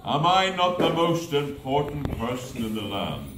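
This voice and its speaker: A man's voice: fairly high pitch, very animated, unhurried. An older man playing a pompous, grumpy sultan in an amateur stage pantomime, declaiming his lines. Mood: burdened, pompous. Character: grumpy, pompous sultan burdened with unmarried daughters. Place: village hall stage, indoors. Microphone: distant camera in the audience. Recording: echoey village hall, noisy.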